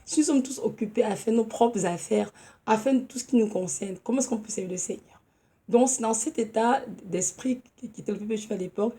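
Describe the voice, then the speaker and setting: Woman, 30s, hoarse from a cold, earnest informal preacher, mellow tone, halting delivery. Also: a little high. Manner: earnest, halting, with many fillers and restarts. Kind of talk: informal home sermon.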